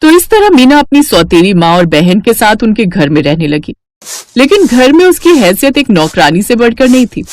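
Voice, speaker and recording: A woman's voice: a little high, big pitch swings, normal speed, a little mellow, no room sound. A woman storyteller reading scripted narration for a cartoon fairy tale.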